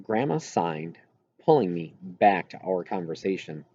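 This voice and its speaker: Husky low-voiced man reading a story. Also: very animated, unhurried, mellow, highs cut off. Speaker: audiobook reader. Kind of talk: scripted narration of a first-person novel.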